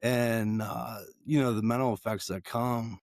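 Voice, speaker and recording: A man talking as a podcast guest in a halting way, with many fillers. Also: medium pitch, fairly even intonation, a little mellow, no echo, clean studio sound. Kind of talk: casual interview talk.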